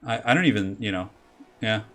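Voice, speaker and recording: A man's voice: lowish voice, lively intonation, a little fast, fairly bright tone. Rambling young man casting a strategy game. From California. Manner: rambling, filler-heavy with many "like"s. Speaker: streamer and caster. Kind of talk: casual game commentary with chat.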